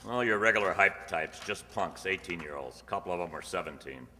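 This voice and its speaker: An actor playing a matter-of-fact, deadpan police detective in a 1950s-style radio crime drama. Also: male voice, sits low, fairly quick. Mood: guarded, tough. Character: male police detective narrating, posing as a drug buyer. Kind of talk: 1950s radio crime drama, clipped first-person narration. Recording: hall-like reverb, music underneath.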